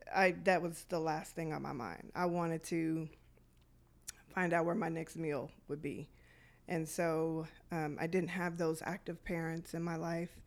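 A middle-aged woman from Detroit speaks as a podcast guest, her voice low and gravelly. She tells her own story in a reflective way, pausing often on fillers. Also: a little mellow. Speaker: guest, youth programs director. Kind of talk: podcast interview, personal story.

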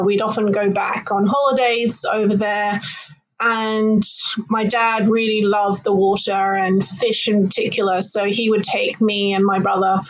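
A woman's voice: medium pitch, poor audio. A woman from London with a British accent, speaking conversationally as an interview guest and telling personal stories. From London, United Kingdom. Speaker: interview guest, marine biologist.

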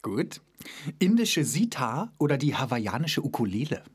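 A man hosting a podcast in a relaxed, joking manner, interviewing a colleague. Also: medium pitch, normal speed, slightly rough. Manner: playful, lively, jokes with the guest. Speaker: podcast host, actor and author at a children's theater.

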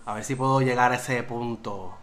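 A man's voice: medium pitch, normal speed, a bit bright. A man hosting a casual interview, friendly and hesitant, often restarting his questions. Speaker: podcast host.

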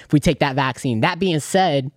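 A man's voice: fairly high pitch, normal speed, no echo. A young man hosting a podcast in a studio, chatting loosely with lots of swearing and joking banter. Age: young adult. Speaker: podcast host. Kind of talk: casual podcast chat. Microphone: studio mic.

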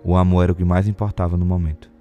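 A man's voice: low-pitched, level tone, rapid-fire, mellow, lo-fi sound, faint music behind. A Brazilian man reading scripted narration for a radio soap opera.

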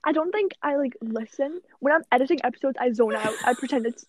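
A woman's voice: high-pitched, animated, no room sound. A young woman hosting a podcast, chatting casually and laughing easily with her guest. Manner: friendly, quick to laugh. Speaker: podcast host. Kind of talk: casual chat.

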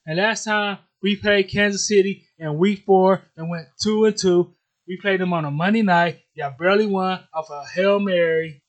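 A man's voice: high voice, steady tone, normal speed. An American man co-hosting a casual sports podcast, talking football in an informal, slangy, teasing way.

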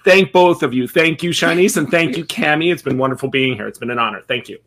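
A man's voice: fairly high pitch, fast talker, fairly bright tone. A man speaking conversationally as a guest participant in a discussion. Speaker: audience guest asking questions.

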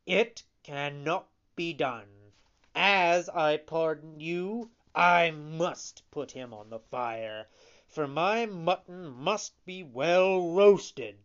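A narrator voicing an imposing bearded puppet showman, stern but capable of pity, speaking slowly and emphatically. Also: medium pitch, dull audio, pitched above the performer's own voice. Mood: softening. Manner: slow, emphatic, commanding.

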